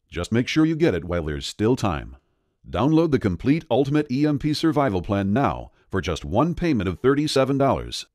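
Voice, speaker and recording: A man with a lowish voice delivers a scripted sales voice-over, his pitch swinging widely as he presses urgently and persuasively. The recording is dry and close, with no room sound. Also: darkish tone, no room sound.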